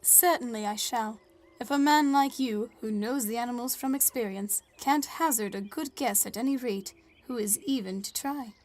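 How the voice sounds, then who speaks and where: Woman acting Victorian lady reporter, slightly high voice, articulate, formal, quietly wry, audio drama narration. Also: moderate pace, lightly acted. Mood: inquisitive, coaxing. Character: polite, shrewd female Victorian newspaper reporter, quietly wry. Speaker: narrator and interviewer. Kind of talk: scripted first-person narration and dialogue.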